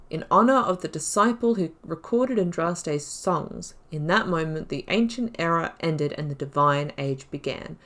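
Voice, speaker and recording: A woman hosting a video game playthrough, moving between measured lore reading and emphatic, musing casual commentary. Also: on the low side. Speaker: gamer host of a playthrough series.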